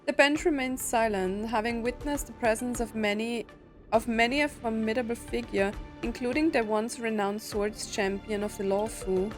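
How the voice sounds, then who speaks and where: Female let's player, relaxed chatty commentary, moderately high register, faint music underneath. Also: moderate pace. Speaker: gamer playing and commenting. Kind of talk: casual let's play commentary, reading dialogue aloud.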